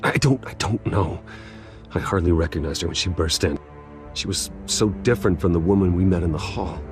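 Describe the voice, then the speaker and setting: A man's voice: sits low, fairly quick, close to an everyday voice. An actor playing a grim young man who questions a crime boss tersely and coldly in a video game. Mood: shaken. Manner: terse, cold, guarded. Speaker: interrogator in a game cutscene. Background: faint music.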